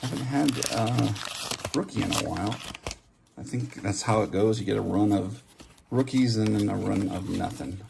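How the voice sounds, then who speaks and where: A man with a lowish voice and heavy vocal fry talks casually as a hobby host. He lists things off and muses aloud in an offhand way. Slight noise and small handling clicks sit behind him. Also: normal speed. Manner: offhand, reading out names and musing aloud. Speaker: host of a trading card opening channel. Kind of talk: casual running commentary while opening card packs. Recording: background hiss, handling clicks and card shuffling.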